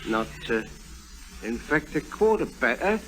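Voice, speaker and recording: An actor playing a jovial, nosy London pub landlord, chummy and teasing, in 1950s radio drama. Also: male voice, mid-range voice, big pitch swings, measured pace, fairly bright tone, low-quality recording. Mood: sly, appraising. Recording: old radio recording.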